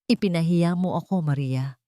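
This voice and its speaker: A woman's voice: fairly low pitch, normal speed, mellow, no room sound, studio quality, close to an everyday voice. A woman voicing a cruel, angry groom with wounded pride in a dramatic story, accusing and domineering.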